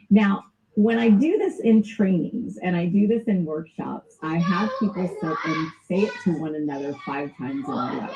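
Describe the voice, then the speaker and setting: A woman's voice: on the low side, background noise. A woman with a Southern US accent giving a casual, informal sales training lesson and laughing often. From American South. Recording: dull lo-fi recording.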